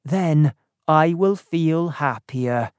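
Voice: mid-range voice, extremely slow, smooth, no room sound. A narrator voicing a worried, earnest man in a storybook, speaking anxiously and carefully. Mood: earnest, resolved. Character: worried, earnest Englishman afraid of a curse.

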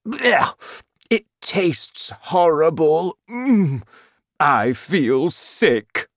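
A man voicing a kind-hearted storybook giant with a slow, emphatic, complaining manner. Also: on the low side, sing-song, dry recording, heavily acted. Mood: disgusted. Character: kind-hearted giant who hates his vegetable food.

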